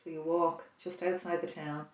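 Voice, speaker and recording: A prayer leader guiding listeners through an imaginative prayer, speaking slowly and softly, with reflective pauses. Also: medium pitch, flat delivery. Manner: slow, soft-spoken, reflective, with pauses. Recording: roomy echo, distant mic.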